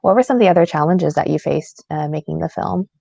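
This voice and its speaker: A woman's voice: deep voice, fast talker, creaky, dark tone, no room sound. A woman moderating a festival Q&A, asking prepared questions in a friendly way over a video call. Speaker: interviewer.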